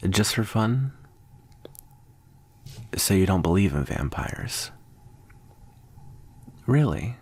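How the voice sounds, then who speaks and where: A smug vampire schoolteacher acted by a man speaks in a very low, husky voice. He is amused and condescending, teasing his listener with slow, deliberate pauses. It is an intimate ASMR roleplay with a very dry sound. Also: no room sound, heavily acted. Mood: skeptical, probing. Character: smug, amused male vampire teacher lecturing a student.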